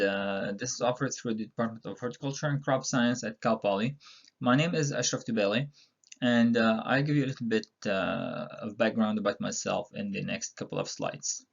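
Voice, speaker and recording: Middle-aged male professor from Palestine, relaxed lecture delivery with lots of fillers, some vocal fry, slightly muffled audio. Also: on the low side. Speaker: university instructor. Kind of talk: recorded online course introduction.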